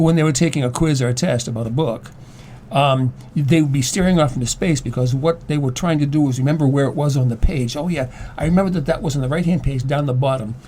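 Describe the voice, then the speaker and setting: A man speaks as a guest in a relaxed discussion. His voice is dark and mellow at a middling pitch. He explains things haltingly, doubling back on words, with a light layer of background noise. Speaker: guest, former English teacher. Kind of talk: casual discussion.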